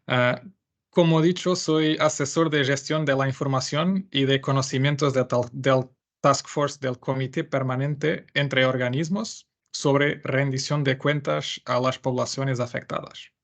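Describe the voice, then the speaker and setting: Gravelly-voiced Portuguese man presenting. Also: medium pitch, steady tone. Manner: careful, slightly halting non-native Spanish. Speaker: webinar presenter, information management adviser. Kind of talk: presenting a webinar.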